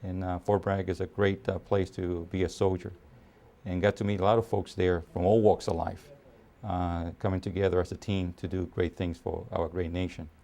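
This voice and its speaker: A man in his fifties from Puerto Rico, raised in New York, speaking as an army officer in a documentary interview, measured with frequent pauses and fillers. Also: low voice, little pitch movement, vocal fry, warm tone. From Puerto Rico, raised in New York City. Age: 50s.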